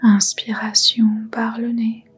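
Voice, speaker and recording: A woman softly guiding a meditation in a breathy, whispery voice, soothing and unhurried. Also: fairly high pitch, completely flat delivery, faint music behind. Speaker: yoga nidra guide. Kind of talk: guided meditation, soft whispered narration.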